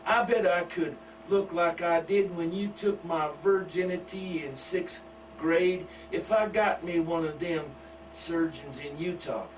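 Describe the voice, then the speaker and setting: A man voicing a crude, boastful middle-aged country wife with a loud, brassy drawl. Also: a little high, fairly even intonation, a little slow, voice pushed up, close to an everyday voice. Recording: distant mic with faint hum.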